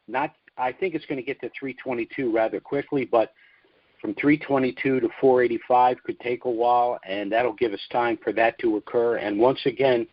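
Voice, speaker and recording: A man speaks in a mid-pitched voice over dull, lo-fi conference-call audio. He hosts an informal financial update as a monologue. His delivery is deliberate and measured, broken into short phrases with pauses, and the sound is dry. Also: no echo. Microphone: conference call line.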